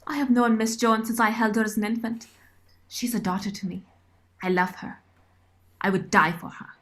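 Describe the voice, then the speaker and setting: A woman's voice: fairly high pitch, fairly even intonation, normal speed, a bit bright, lightly acted. A woman playing a devoted, motherly older servant with a solemn, intense and menacing edge in an audio drama.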